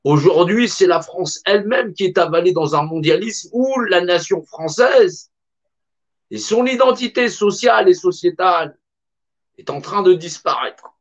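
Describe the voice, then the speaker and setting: A man's voice: sits high, animated, a little slow. Impassioned man, dull lo-fi recording. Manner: emphatic, repetitive, colloquial. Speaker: speaker. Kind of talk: impassioned monologue.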